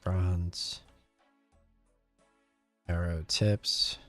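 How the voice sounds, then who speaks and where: Very low-voiced man casually narrating gameplay. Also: little pitch movement, extremely slow, a bit bright, soft background music. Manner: rambling, thinking aloud. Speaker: gaming video host.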